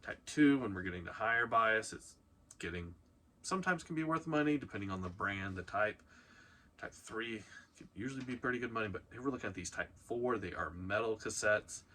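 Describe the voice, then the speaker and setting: A man with a fairly low, bright and forceful voice presents a countdown like a video host. He talks informally and leans hard on key items. There is some noise in the background of the recording. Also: animated, fairly quick. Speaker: host of a reselling video channel. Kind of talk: casual countdown commentary to camera.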